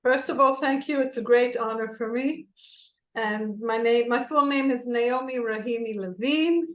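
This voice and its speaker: A woman's voice: a little high, moderate pace, echoey room, rough recording. A woman from Israel who speaks natively, a hospital blood bank physician answering interview questions in an earnest, hesitant way with many fillers.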